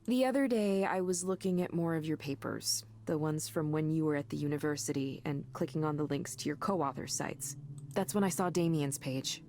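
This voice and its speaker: A woman's voice: sits low, moderate pace, dark tone, slight background noise. A woman acting an earnest, idealistic tech worker in a visual novel game, speaking in a conversational, impassioned way. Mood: impassioned, pleading. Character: earnest, idealistic woman defending her mental-health tech work. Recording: music behind the voice.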